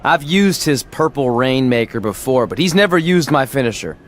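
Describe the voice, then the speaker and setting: A man's voice: mid-range voice, animated, moderate pace, natural voice. A man playing a cocky, playful young pro wrestler talking casually and boastfully in a video game scene. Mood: confident.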